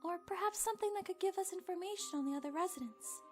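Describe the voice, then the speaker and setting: Woman playing cartoon sleuth: extremely high voice, inquisitive, composed, teasing. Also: fast, soft background music. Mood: polite, probing. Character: sharp, teasing young detective, playful and composed. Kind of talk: animated murder-mystery parody acting.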